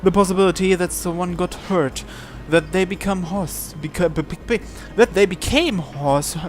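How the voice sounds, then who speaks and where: A man's voice: high voice, normal speed, slight background noise. A man reading visual novel narration aloud, sometimes stumbling and repeating words, with music behind. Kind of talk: live read-aloud narration in English.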